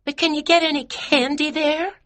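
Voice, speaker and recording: A woman's voice: high-pitched, fairly even intonation, moderate pace, fairly bright tone, no room sound. A woman voicing a small, outspoken, candy-loving American boy in an audiobook, with a blunt, childlike manner. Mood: blunt, childish. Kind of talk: graded audiobook character dialogue.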